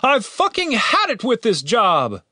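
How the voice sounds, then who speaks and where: A man's voice: extremely high pitch, wildly swooping pitch, unhurried, a bit bright, dry recording, clean studio sound, pitched above the performer's own voice, heavily acted. A man voicing a cynical, exasperated leader of dungeon guards who speaks bluntly.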